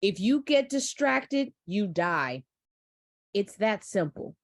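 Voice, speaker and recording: An actress reads a commanding, maternal leader of women fighters. She speaks slowly and solemnly, exhorting her followers in a staged script read, with a dry, close sound. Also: mid-range voice, sing-song, no echo. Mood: stern warning. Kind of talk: live staged script reading.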